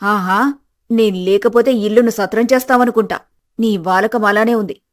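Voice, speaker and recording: A woman voicing a greedy, domineering older mother-in-law in an animated moral story, scolding and threatening with contempt. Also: medium pitch, moderate pace, pitched below the performer's own voice, lightly acted. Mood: scornful, angry.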